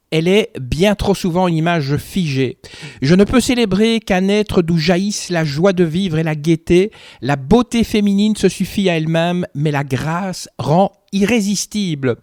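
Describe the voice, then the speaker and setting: A man's voice: sits high, a little mellow, dry recording, clean studio sound. A man, presenting a podcast and putting questions to a guest in a scripted style. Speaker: podcast host and interviewer.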